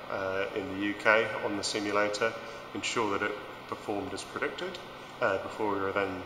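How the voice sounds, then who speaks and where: A man speaking haltingly with many fillers in an interview. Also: lowish voice. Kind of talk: corporate video interview. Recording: echoey room with audible hum.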